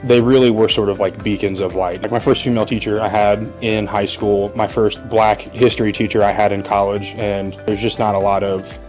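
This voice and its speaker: Man, from Indiana, history teacher, articulate, flat delivery. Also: on the low side, soft background music. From Muncie, Indiana. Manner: articulate, measured, hedges with "sort of". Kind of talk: thoughtful interview answers.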